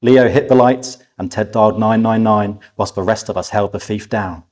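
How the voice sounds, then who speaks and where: A man reading a children's story aloud as an expressive narrator, with animated delivery and dramatic pauses. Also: fairly low pitch. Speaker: author reading a competition-winning story aloud.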